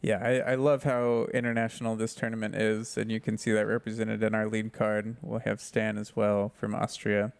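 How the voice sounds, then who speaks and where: An American man commentating on a disc golf final in a relaxed, easygoing way. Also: fairly low pitch, normal speed, gravelly, few pauses, clean tone. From United States. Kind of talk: sports commentary.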